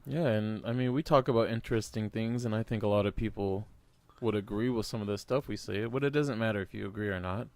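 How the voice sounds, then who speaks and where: A relaxed young man co-hosting a podcast in a low, easygoing voice. The sound is close and dry, with no room around it. He agrees often with short yeahs. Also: fast, no room sound. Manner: laid-back, agreeable, lots of short yeahs. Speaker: podcast co-host. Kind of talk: casual chat.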